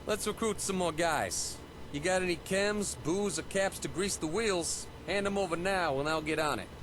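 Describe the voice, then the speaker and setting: A man's voice: high voice, moderate pace, close to an everyday voice. An actor playing a callous, mercenary raider henchman in a video game, with slangy, offhand delivery. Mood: callous, obliging. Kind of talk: video game character dialogue. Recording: noisy game capture with ambience.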